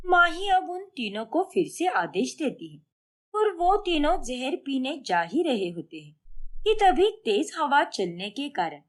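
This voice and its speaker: A woman narrating a scripted, dramatic story as its storyteller. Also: sits high.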